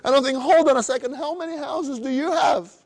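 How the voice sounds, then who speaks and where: Animated Brazilian-accented man, high voice. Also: fast. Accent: Brazilian Portuguese. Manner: animated, acts out voices of others. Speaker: motivational speaker. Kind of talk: live seminar presentation.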